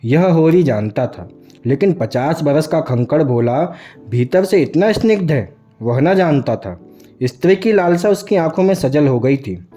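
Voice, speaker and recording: A man's voice: fairly high pitch, expressive, measured pace. A man narrating a literary story as a scripted audiobook reading. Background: soft music.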